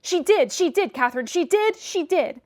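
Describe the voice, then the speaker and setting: A woman's voice: sits high, animated, moderate pace, no room sound, clean studio sound. A woman reading aloud and voicing a sickly, peevish teenage boy who whimpers and sulks. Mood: taunting. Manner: petulant, whimpering.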